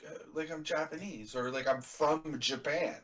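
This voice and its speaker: A young man from Canada, blunt and animated, talking over a wrestling show on a live stream. His voice sits a little high and sounds bright and sharp. He is set back from the mic, so a bit of room comes through. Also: normal speed, far from the mic. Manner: animated, blunt, swears casually. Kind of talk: casual live reaction stream chat.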